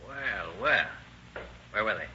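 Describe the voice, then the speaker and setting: An actor playing a shrewd police lieutenant, sardonic and pressing, in an old radio crime drama interrogation. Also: male voice, lowish voice, expressive, slow pace, pushed and bright, exaggerated voice. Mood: brisk, needling. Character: shrewd, needling, hard-driving male police lieutenant interrogator. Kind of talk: 1930s-1940s radio crime drama acting. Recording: dull, noisy old radio recording.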